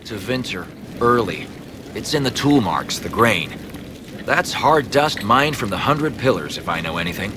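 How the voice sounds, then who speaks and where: Clipped, low-voiced dwarven craftsman. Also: big pitch swings, a little slow, background noise. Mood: matter-of-fact. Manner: clipped, plainspoken. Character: gruff, practical dwarven craftsman, proud of his trade. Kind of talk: video game dialogue acting.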